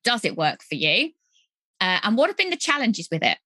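A woman hosting a podcast interview, upbeat and playful with quick affirmations. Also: mid-range voice, very animated, pushed and bright, slightly rough, no echo. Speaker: podcast host. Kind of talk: casual interview talk.

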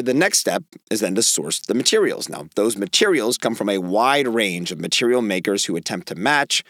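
A middle-aged man with a raspy voice hoarse from a cold, hosting an opinionated outdoor podcast with emphatic, wry delivery. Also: fairly low pitch, dry recording, clean studio sound. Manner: emphatic and wry, lively rises on key words. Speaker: podcast host.

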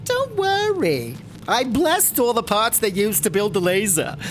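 Woman acting a slow, swooping, scheming elder. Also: fairly high pitch, very animated, a little hiss or hum. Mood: coaxing. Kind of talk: comic audio drama acting.

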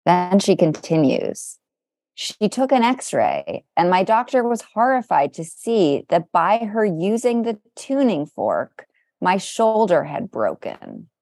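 Lower-voiced woman, dry playful co-host. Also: big pitch swings, slow pace, warm tone, dry recording. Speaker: podcast co-host. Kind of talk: casual chat with dramatic read-aloud.

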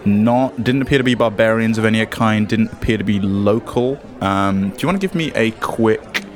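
A man's voice: fairly low pitch, moderate pace, soft background music. A man acting as game master, narrating and improvising in a playful, conversational way. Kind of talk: improvised tabletop game narration, casual banter.

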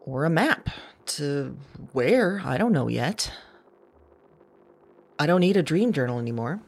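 A woman playing a wry, unsettled woman who records her night thoughts in an intimate audio drama monologue, slow and deliberate. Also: sits low, animated, a little mellow, slightly rough, exaggerated voice. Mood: musing. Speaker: audio drama writer and performer. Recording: music bed behind voice.

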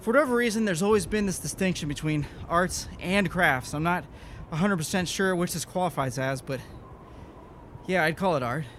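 An actor playing a weary but defiant leader of a science team in an audio drama, with a casual, wry delivery. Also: male voice, sits high, normal speed, a bit bright, a little hiss or hum. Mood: wry, disarming.

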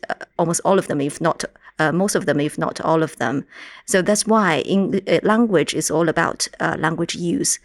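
A woman with a Mandarin Chinese accent, a native Mandarin speaker from China, explaining her work in a measured way as a podcast guest. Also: fairly low pitch, no echo, clean studio sound. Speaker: guest linguistics researcher. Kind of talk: podcast interview.

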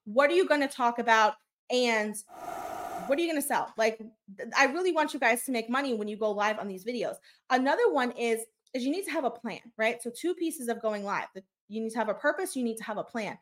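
A woman with a fairly high voice talks straight to her listeners in a solo podcast episode. She coaches with energy, firing off rhetorical questions and checking in with a quick "okay?". Now and then she stumbles on a word with a slight stutter. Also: expressive. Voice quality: high-pitched voice. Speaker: podcast host and business coach. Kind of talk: motivational solo podcast talk.

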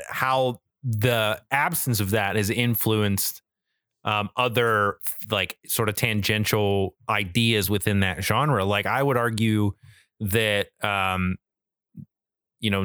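A man's voice: on the low side, fairly bright tone, no room sound, studio quality. A man co-hosting a podcast, chatting casually and emphatically in slangy, mildly profane language. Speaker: podcast co-host. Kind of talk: casual chat about video games and media.

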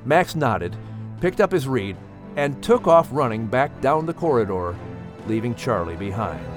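A man narrating a children's audiobook in an expressive, measured storytelling style. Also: medium pitch, over music.

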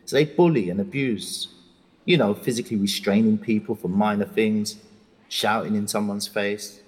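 An actor playing an educated middle-aged prisoner, reflective and indignant, in an articulate, confiding audio drama monologue. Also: male voice, fairly low pitch, moderate pace, darkish tone, husky, big-room sound. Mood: indignant, weary.

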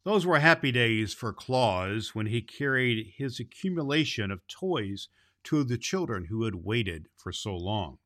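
A man's voice: mid-range voice, lively intonation, unhurried, a bit bright. A man playing a jolly, loving Santa Claus, hosting a children's holiday show in a folksy, exclamatory way. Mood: warm, storytelling. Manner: exclamatory, folksy, repeats phrases. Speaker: podcast host.

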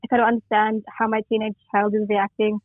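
A woman's voice: medium pitch, level tone, quick pace, no echo. Young woman on a tinny phone line. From Western Sydney, Australia. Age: young adult. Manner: enthusiastic, reflective with fillers. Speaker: guest poet. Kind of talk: phone interview.

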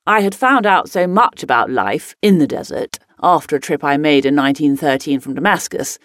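A spirited upper-class lady explorer reminisces in a scripted monologue. Her voice sits a little low and moves with lively, emphatic swings, genteel yet headstrong. The sound is dry, with no room around it. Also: moderate pace, no echo. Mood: proud, confiding. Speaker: actor reading a monologue. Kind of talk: scripted first-person dramatic monologue.

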